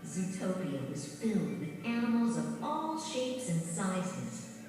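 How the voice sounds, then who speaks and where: A woman narrates a children's storybook in an animated, expressive style at an unhurried pace. The recording is very reverberant and distant, with music playing behind her. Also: lowish voice.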